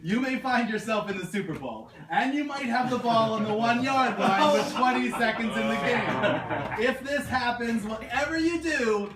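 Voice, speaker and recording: A man voicing an excitable young time traveler in an amateur comic puppet show, halting and flustered, repeating himself. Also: very high-pitched. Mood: urgent, flustered. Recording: echoey distant live recording, very noisy.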